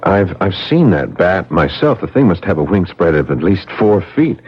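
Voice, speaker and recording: A man's voice: low voice, big pitch swings, normal speed, slightly rough, exaggerated voice. An actor playing an anxious, earnest young woman's fiancé, a troubled doctor in a 1970s radio drama. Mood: puzzled. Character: anxious, baffled doctor desperate to save his fiancée. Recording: dull 1970s radio broadcast.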